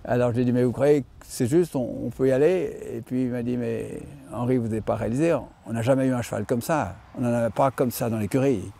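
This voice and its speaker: An older man who owns and breeds horses, answering interview questions with many pauses and restarts. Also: mid-range voice, creaky, mellow. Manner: hesitant, many fillers and restarts. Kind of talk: interview reply.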